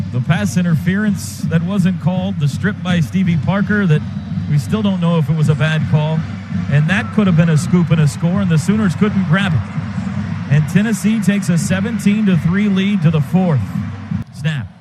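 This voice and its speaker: A man doing live radio play-by-play of a football game, quick clipped calls that build to shouts on big plays. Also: high voice, over loud music, low-quality recording. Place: stadium radio booth. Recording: radio broadcast with loud crowd noise.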